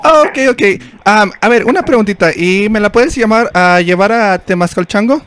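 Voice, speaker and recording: A man's voice: high voice, lively intonation, normal speed, noisy background. A young man co-hosting a comedy show, chatting casually as he makes prank calls into a handheld microphone. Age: young adult. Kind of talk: casual banter and prank calling. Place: small room studio, indoors.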